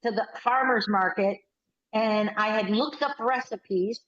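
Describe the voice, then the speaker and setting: A woman, chatting on a video call as a workshop participant and telling stories with quick, animated bursts. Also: mid-range voice. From United States. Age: 60s. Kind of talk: casual video call chat. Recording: roomy, slightly muffled call audio.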